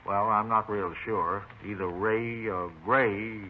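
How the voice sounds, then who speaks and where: A rambling old widower, tinny radio. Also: male voice, on the low side, fairly even intonation. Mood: musing. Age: older. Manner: rambling, unhurried. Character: talkative old widowed neighbor, eccentric whittler, wistful. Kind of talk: 1950s radio drama acting. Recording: tinny, muffled 1950s radio recording, faint noise.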